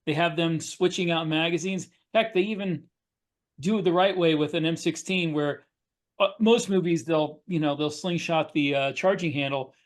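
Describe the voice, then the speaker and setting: A man with a fairly high-pitched voice talks casually as a podcast co-host. He lays out his opinions in an explanatory way, as part of a loose discussion. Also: normal speed.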